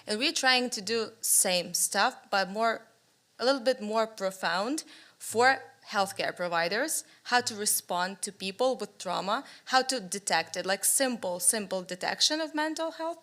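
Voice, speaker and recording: A woman's voice: mid-range voice, measured pace. A young woman with a Ukrainian accent speaking enthusiastically at a panel discussion in a conference room, using a table microphone. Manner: enthusiastic, animated, with gestures.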